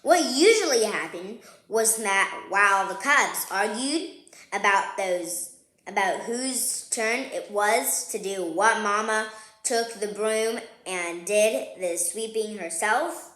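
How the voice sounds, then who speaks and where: A woman reading a children's picture book aloud, playful and expressive, chatting to young listeners between lines. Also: medium pitch, slow pace. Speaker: read-aloud host. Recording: roomy, echoing sound.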